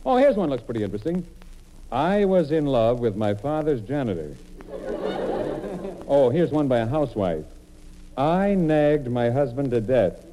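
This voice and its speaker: A man's voice: mid-range voice, big pitch swings, normal speed, long pauses, mellow, a faint crowd. A man performing scripted comedy narration on old-time radio, telling his story in a mock-earnest way. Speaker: comedian and monologist. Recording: noisy old radio broadcast recording.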